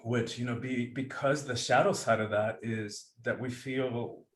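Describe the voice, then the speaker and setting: An earnest, reflective man from Cuba talks through his ideas on a video call. He pauses and restarts as he searches for words. His voice rings with a roomy echo at a middling pitch. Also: steady tone. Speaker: guest author and breathwork teacher. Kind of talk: conversational interview. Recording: echoey room sound.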